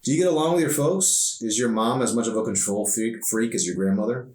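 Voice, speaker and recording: A man's voice: medium pitch, a little fast, darkish tone, voice pushed up, close to an everyday voice. Chatty sardonic teenage girl acted by a man, echoey. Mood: curious, teasing. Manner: slangy, emphatic, complaining. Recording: roomy echo, distant microphone.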